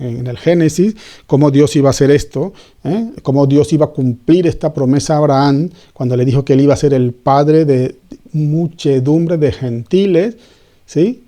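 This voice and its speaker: A man's voice: medium pitch, dark tone. A man giving an informal Bible teaching, talking conversationally with frequent restarts and checking questions to his listeners. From Spain.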